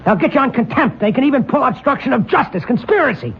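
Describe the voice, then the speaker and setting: An actor playing an incredulous, exasperated lawyer pleading with an old friend, husky-voiced, in an old radio drama. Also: male voice, very high voice, lively intonation, fast, exaggerated voice. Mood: agitated, pleading. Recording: muffled old radio recording.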